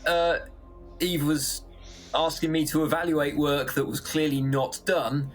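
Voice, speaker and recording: A man with a slightly high voice chats as a game player. His talk is casual and halting, with faint music in the background. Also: steady tone. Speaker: role-play game player. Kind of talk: casual chat.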